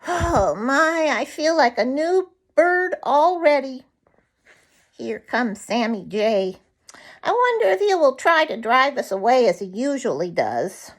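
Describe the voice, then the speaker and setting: A woman's voice: high-pitched, very animated, takes it slowly, voice pushed up, exaggerated voice. A woman voicing a famished, grateful male nuthatch in a children's storybook, earnest, fretful and emphatic. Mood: relieved.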